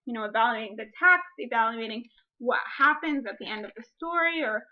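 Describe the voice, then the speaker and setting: A woman with a high voice talks as a teacher doing a read-aloud. She explains as she goes and reads with expression. The sound is a little muffled and lo-fi. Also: lively intonation, fairly quick. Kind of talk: picture-book reading with teaching explanation. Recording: dull lo-fi recording.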